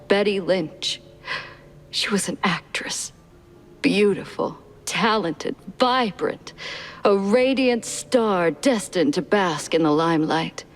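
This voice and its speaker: An actress playing a sultry, theatrical former film star and femme fatale in a noir video game. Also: female voice, lowish voice.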